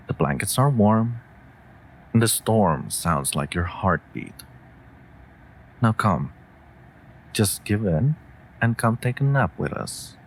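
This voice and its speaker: A man's voice: sits low, heavily acted. A man playing a stoic, protective wolf familiar in an intimate comfort audio roleplay, teasing drily and tenderly. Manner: slow, dry, teasing.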